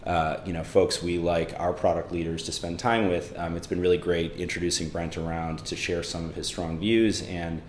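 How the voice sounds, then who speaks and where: A man's voice: low voice, little pitch movement, normal speed, hardly any pauses, echoey room, slight background noise. A man hosting an interview, introducing a guest and asking questions in a friendly way with many fillers. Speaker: host. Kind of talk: conversational interview.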